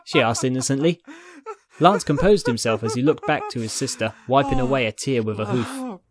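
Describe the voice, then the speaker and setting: A man's voice: mid-range voice, very animated, a little fast, crowd noise. A man reading fiction aloud as narrator, in scripted dramatic storytelling style. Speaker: narrator, fan fiction reader.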